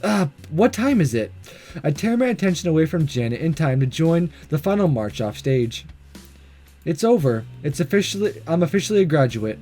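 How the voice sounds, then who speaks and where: A man's voice: on the high side, big pitch swings, normal speed, darkish tone, faint music behind. A man hosting a let's play, reading a visual novel aloud and breaking off with laughing, jokey asides. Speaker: gaming video host. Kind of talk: let's play narration and commentary.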